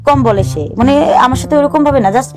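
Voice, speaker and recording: Earnest, halting woman, higher voice. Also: music in the background. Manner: earnest and halting, with restarts and English words mixed in. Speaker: guest sharing her life story. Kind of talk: personal storytelling on a talk show.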